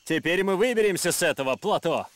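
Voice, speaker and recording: An actor playing a steady, practical expedition leader, measured and decisive, in an animated adventure dub. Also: male voice, high voice. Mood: measured, resolute. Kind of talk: animated adventure series dubbing.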